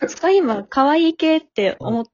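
A woman's voice: high-pitched, expressive, a little slow, darkish tone, no room sound. A woman chatting casually in a group discussion. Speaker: voice performer on an amateur radio drama. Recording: slightly dull, dry lo-fi audio.

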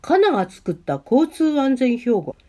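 A woman's voice: high voice, sing-song, a little slow, warm tone, full character voice. A woman playing an easygoing, oblivious, kindly male schoolteacher in an amateur radio drama, with casual masculine speech. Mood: matter-of-fact, kind.

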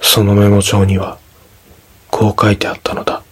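A man narrating a scripted ghost story in a measured, dramatic storytelling manner. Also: lowish voice, monotone, slightly rough.

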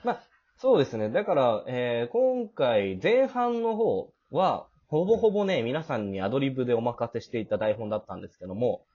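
A male host chats casually at a very quick pace. His pitch moves around a lot as he talks. The recording sounds dull and lo-fi. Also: big pitch swings, dark tone, slightly rough. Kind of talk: casual hosting of a cast after-talk.

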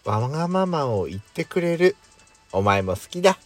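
A man playing a calm, indulgent boyfriend with a dry, gentle manner in an intimate bedtime audio drama. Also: on the high side, normal speed, soft background music. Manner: gentle, dry, masculine wording. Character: gruff but doting male lover, calm and indulgent.

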